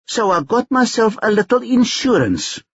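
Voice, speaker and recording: A man's voice: high-pitched, very animated, normal speed, husky, no echo, lo-fi sound, pitched above the performer's own voice, heavily acted. A man voicing a cocky, crude young male hustler who taunts and swears. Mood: taunting.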